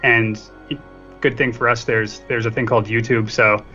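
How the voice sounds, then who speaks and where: A man's voice: medium pitch, little pitch movement, normal speed, pushed and bright. A man chatting casually as a guest on a podcast. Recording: dull lo-fi mic, faint music behind.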